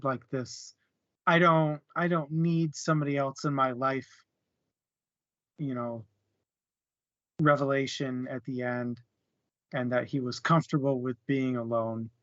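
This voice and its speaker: A man's voice: mid-range voice, level tone, measured pace, pauses often, a bit bright, no echo, highs cut off. A man casually discussing a book as a co-host, hesitant and searching for words, with small chuckles. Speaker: book club podcast co-host.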